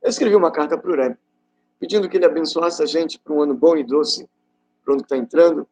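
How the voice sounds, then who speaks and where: A middle-aged man from Rio de Janeiro gives an informal religious talk as a rabbi, telling stories in a conversational, halting way with rhetorical questions. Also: fairly high pitch, darkish tone, a little hiss or hum. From Rio de Janeiro, Brazil. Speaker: rabbi giving a class.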